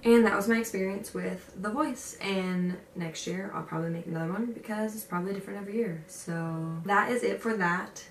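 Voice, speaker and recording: A woman's voice: medium pitch, fairly quick, few pauses. A young woman chatting casually as a vlogger, informal and emphatic, repeating phrases as she tells stories and gives encouraging advice. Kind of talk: casual chat to camera, storytelling and advice. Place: indoor room. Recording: roomy echo, distant mic, faint noise.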